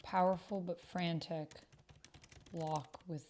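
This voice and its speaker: Female streamer, lowish voice, casual and unscripted, thinking out loud while playing a text-based roleplay game. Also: fairly even intonation, slow, slight background noise. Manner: thinks aloud while reading and typing. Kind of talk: casual livestream chat while reading out typed roleplay. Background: computer keyboard typing.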